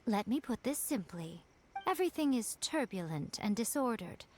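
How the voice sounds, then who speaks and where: An actress playing a sly, poised shrine priestess in a video game, silky, coy and a little condescending. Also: female voice, on the high side, sing-song, darkish tone, slight background noise. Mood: patiently explaining. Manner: coy, condescending, elegantly unhurried. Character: sly, poised shrine priestess, adult woman, teasing and unruffled. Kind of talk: scripted video game character acting.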